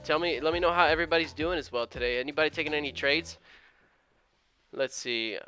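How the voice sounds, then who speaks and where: A man's voice: on the high side, fairly quick, soft background music. A young man from Mexico chatting casually on a live trading stream, reading out viewer comments as he goes. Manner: relaxed, chatty, reads viewer comments aloud. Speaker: day trading livestreamer. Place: his room at home.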